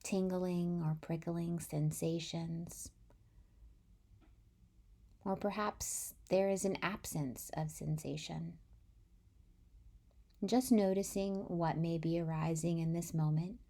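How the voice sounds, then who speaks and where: A woman leads a guided meditation at a very slow pace. Her voice is slightly low and mellow, soft and breathy at the ends of phrases. She speaks gently and soothingly, leaving long pauses. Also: steady tone. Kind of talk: guided body-scan meditation.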